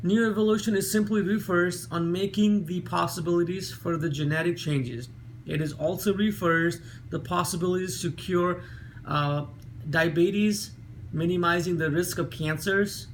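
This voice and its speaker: A man from Nepal with a Nepali accent, reading an earnest talk with careful pauses and small slips. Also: high-pitched, a bit bright, a little hiss or hum. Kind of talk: read-aloud video talk.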